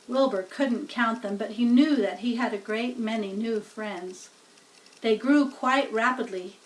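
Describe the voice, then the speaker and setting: Slow-paced woman reading a storybook. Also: fairly high pitch, recorded from a distance, poor audio. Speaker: storybook reader. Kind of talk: scripted narration of a children's book.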